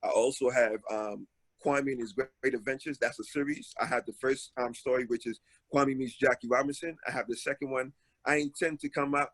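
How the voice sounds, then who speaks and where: Relaxed man in his forties, dry sound. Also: medium pitch, no echo, low-quality recording. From Haiti, raised in Brooklyn, New York. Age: 40s. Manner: relaxed, many filler ums, storytelling. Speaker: author guest. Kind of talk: casual interview talk. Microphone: video call.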